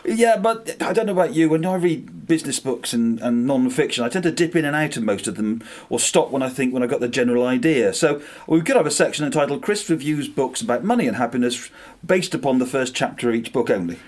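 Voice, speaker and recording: A man co-hosting a relaxed podcast, upbeat and teasing. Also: mid-range voice, fast talker, few pauses. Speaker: podcast co-host. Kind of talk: casual chat. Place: home room.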